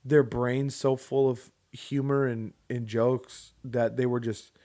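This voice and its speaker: A man's voice: mid-range voice, little pitch movement, takes it slowly, dark tone. A young man co-hosting a podcast, chatting casually with a loose, joking manner and casual swearing. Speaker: podcast co-host. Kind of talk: casual chat.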